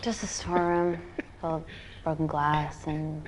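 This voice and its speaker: A woman's voice: sits low, flat delivery, measured pace, noisy background. An actress playing a focused, commanding woman scientist who asks direct questions in a film-style game thriller. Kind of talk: naturalistic film drama acting.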